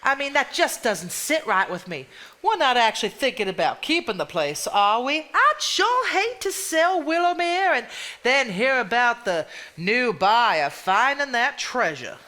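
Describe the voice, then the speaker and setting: A proud, fretful married woman in a 1950s stage play. Her voice is bright and fairly high, swinging widely in pitch as she defends herself theatrically. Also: sing-song, unhurried, heavily acted. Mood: musing. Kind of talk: live stage acting.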